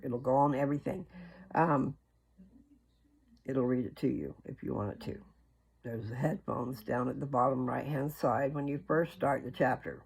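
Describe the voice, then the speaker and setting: A husky voice with plenty of gravelly creak, set at a medium pitch. The speaker hosts an informal religious talk, earnest and folksy. The delivery rambles, drifting into asides and returning to the message. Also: darkish tone, low-quality recording. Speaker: religious video host.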